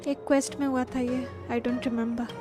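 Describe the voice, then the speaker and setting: Female streamer, Indian accent, chatty Hindi-English commentary, high soft-toned voice, faint game music behind. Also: flat delivery, rapid-fire. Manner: mixes Hindi and English, chatty, muses aloud. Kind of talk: casual live gameplay commentary.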